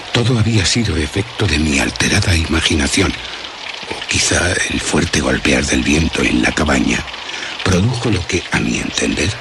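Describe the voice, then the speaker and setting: Very deep, solemn horror storyteller. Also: male voice, creaky, noisy background, exaggerated voice. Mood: uneasy, reflective. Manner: solemn, literary, suspenseful delivery. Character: anxious male philosopher recounting a night of terror. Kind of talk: dramatic first-person horror radio narration.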